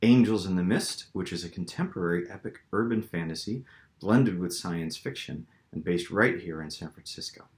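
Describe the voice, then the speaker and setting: A man with a low voice narrates fiction as its author. His delivery is dramatic storytelling, and the room adds clear echo around him. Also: normal speed. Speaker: author reading own novel. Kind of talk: dramatic audiobook-style narration. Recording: roomy, echoing room sound.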